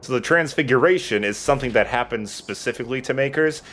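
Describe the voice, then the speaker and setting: A man's voice: medium pitch, fast talker, fairly bright tone, a little hiss or hum. A man giving casual, matter-of-fact Let's Play commentary, explaining the game in a laid-back, sweary way. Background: game music.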